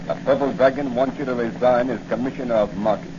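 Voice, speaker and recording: An actor playing a cool, cynical racketeer who gives curt orders, in 1940s radio style. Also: male voice, mid-range voice, steady tone, quick pace, close to an everyday voice. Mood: sardonic. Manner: curt, sardonic. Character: cool cynical male racketeer giving orders, coldly taunting. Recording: muffled noisy 1940 radio recording.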